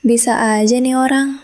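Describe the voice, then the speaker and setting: A woman voicing a greedy, nagging middle-aged village wife who talks in a whiny, scornful way. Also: high voice.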